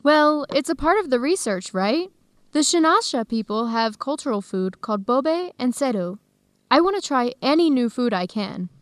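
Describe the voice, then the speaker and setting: A woman's voice: sits high, expressive, moderate pace, no echo. An actress playing a curious, friendly young woman researcher in an educational radio drama. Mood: curious, upbeat.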